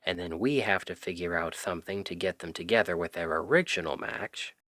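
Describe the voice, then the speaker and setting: A man's voice: sits low, sharp tone, no room sound, studio quality, full character voice. A man playing an exasperated, secretly smitten young Cupid best friend in a conversational, emphatic ASMR roleplay. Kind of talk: ASMR roleplay audio drama, one-sided conversation.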